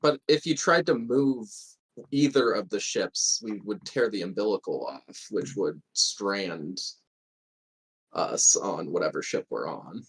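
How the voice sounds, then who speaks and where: A man's voice: on the low side, animated, unhurried, vocal fry, slightly rough, low-quality recording. A man playing a tabletop roleplaying game, talking through options in casual conversation.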